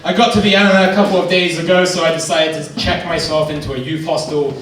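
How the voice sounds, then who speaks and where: A man's voice: on the high side, little pitch movement, fast. A man from Bristol with a Bristol accent doing a dry, self-deprecating stand-up set in a theater. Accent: Bristol English. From England, Bristol. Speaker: stand-up comedian. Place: theater stage with live audience. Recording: echoey room, far from mic, loud noise.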